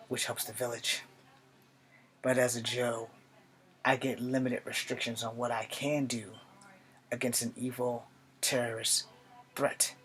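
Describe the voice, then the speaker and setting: Man acting hushed, solemn young soldier. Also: medium pitch. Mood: hushed, earnest. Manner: hushed, halting, earnest delivery. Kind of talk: dramatic acted monologue.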